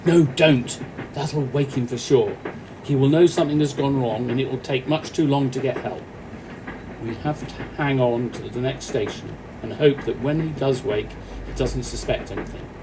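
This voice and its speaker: A man playing a calm off-duty policeman in a radio play, speaking in a measured, reassuring, authoritative way. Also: lightly acted. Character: Mike, calm, commanding off-duty policeman on a train. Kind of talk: amateur radio play acting. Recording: noisy, train carriage rumble behind.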